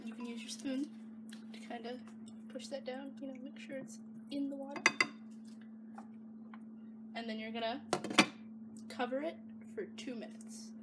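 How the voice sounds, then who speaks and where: Young female vlogger, jokey and self-mocking, highish voice, casual rambling delivery over very loud kitchen noise. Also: fairly even intonation, unhurried, pauses often, far from the mic. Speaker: vlog host. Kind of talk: casual rambling vlog chat while cooking. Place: home kitchen by the stove.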